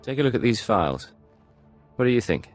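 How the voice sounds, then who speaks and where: An actor playing a curt, sardonic imperial secret agent in a video game, with a dry, dismissive and commanding way of talking. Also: male voice, medium pitch, very animated, fairly quick, faint music behind, natural voice. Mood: dry, skeptical.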